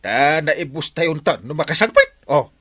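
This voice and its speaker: A man's voice: a little high, expressive, unhurried, pushed and bright, exaggerated voice. A man playing a boisterous, comical village captain in an Ilocano radio comedy, with stammering, exclamations and cackles. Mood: playful, scheming. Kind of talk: comedic radio drama acting.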